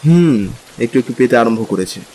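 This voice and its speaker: An actor playing an easygoing young husband, practical and curious, in a conversational, questioning style for an audio drama. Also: male voice, medium pitch, expressive, brisk, mellow, a little hiss or hum, close to an everyday voice, poor audio. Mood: casual. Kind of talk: dramatized Bengali audio story. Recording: music and rain behind.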